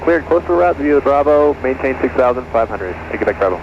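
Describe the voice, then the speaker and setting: A male student pilot reads back instructions quickly in clipped phrases. His radio audio is muffled, with clear aircraft noise behind it. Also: mid-range voice, animated.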